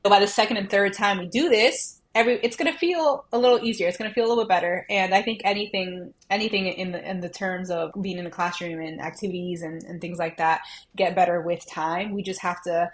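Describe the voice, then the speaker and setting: A woman from Colombia, an educator and coach, talking casually in an interview, animated and laughing often, with lots of "like" fillers. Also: fairly low pitch, fast talker.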